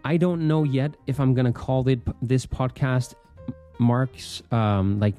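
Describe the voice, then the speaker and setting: A man's voice: mid-range voice, steady tone, unhurried, warm tone, faint music behind. A man with a Danish accent that leans American, casually hosting a solo podcast in an informal, playful, rambling way. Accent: Danish with American leanings. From Denmark. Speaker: podcast host.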